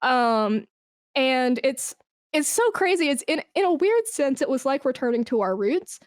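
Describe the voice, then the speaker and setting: A woman's voice: sits high, sing-song, measured pace, dry recording, studio quality. A woman speaking as a podcast guest in a casual, informal interview, effusive and emphatic about their work as an esports brand manager.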